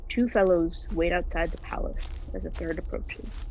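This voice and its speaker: A woman's voice: fairly low pitch, sing-song, moderate pace, a little mellow, a little hiss or hum, low-quality recording. A young woman giving scripted narration of scenes in a play. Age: young adult. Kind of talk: scripted narration of stage directions.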